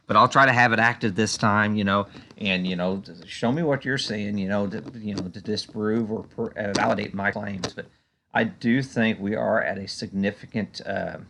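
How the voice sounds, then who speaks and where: Male analyst, low gravelly voice, conversational and halting, talking through charts off the cuff. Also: bright, forceful tone. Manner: conversational, hesitant, frequent "you know". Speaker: stock market analyst and video host. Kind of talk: unscripted market chart commentary.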